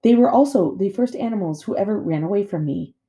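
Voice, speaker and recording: A woman voicing a gentle robot mother in a storybook, speaking politely and precisely in formal phrases. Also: mid-range voice, expressive, brisk, voice pushed up, close to an everyday voice.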